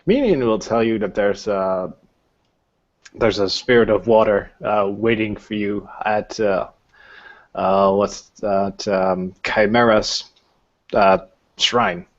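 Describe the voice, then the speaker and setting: Guy game mastering a tabletop rpg, halting casual narration, kinda muffled recording. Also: on the low side, lively intonation, gravelly. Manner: halting, searching for words. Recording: dull, lo-fi voice recording.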